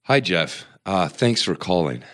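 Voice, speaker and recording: An actor playing an uneasy, reclusive record collector in a horror audio drama, hesitant and muttering to himself. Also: male voice, low-pitched, big pitch swings, unhurried, a bit bright, heavily acted.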